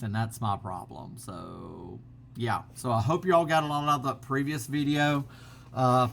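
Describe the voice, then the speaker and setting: A man's voice: medium pitch, vocal fry, a bit bright. Middle-aged man, easygoing vlogger, rambling chatty delivery, built-in laptop mic, faint hum. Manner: rambling, many fillers and restarts. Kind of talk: casual chat to camera. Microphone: built-in laptop camera mic.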